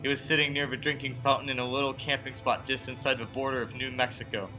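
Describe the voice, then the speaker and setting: A man's voice: mid-range voice, level tone, quick pace, pushed and bright, faint music behind, close to an everyday voice, rough recording. A young man acting a frightened, haunted motorist in an old-time suspense radio play, earnest and dramatic in delivery. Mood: haunted, brooding. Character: frightened, haunted young male motorist narrating his ordeal. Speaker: student actor.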